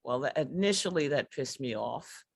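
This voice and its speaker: A middle-aged woman, a comedy performer, telling personal stories in a candid, conversational way with deliberate pauses. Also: rumbling low, lively intonation, vocal fry, breathy edge, no room sound, clean studio sound.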